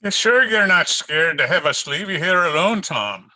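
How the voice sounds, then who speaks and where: A bossy, teasing older police sergeant, played by a man in an old radio drama. The voice is very sharp and forceful, a little high, swinging up and down as he blusters in folksy phrases. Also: animated, moderate pace, exaggerated voice. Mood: teasing, cautioning.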